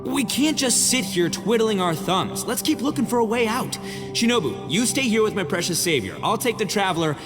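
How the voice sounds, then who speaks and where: An actor playing a brash, boastful young Oni gang boss in a video game cutscene, blustering and theatrical. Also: male voice, sits high, animated, brisk. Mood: bluff, protective. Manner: theatrical, blustering, swings from cocky to flustered. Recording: long reverb, music behind.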